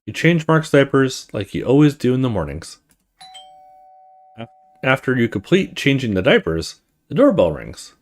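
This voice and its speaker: A man reading a horror visual novel aloud as a let's play host, with expressive storytelling and casual asides. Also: medium pitch, normal speed, pauses often.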